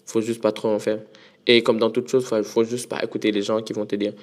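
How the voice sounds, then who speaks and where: A man's voice: on the low side, level tone, brisk. Male podcast host, loose solo talk, switches languages mid-sentence, stumbles and repeats words. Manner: switches between French and English mid-sentence; frequent restarts and repeated words. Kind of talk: casual solo monologue.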